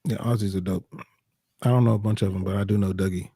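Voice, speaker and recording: A man co-hosting a casual live sports chat, talking in an informal, easygoing and halting way. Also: lowish voice, fairly even intonation, mellow, breathy edge, no echo, studio quality. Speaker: live stream co-host.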